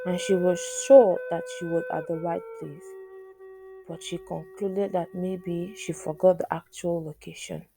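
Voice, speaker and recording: A woman storyteller reading a scripted folktale in measured, separate phrases. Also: lowish voice, steady tone, gravelly, poor audio. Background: flute music.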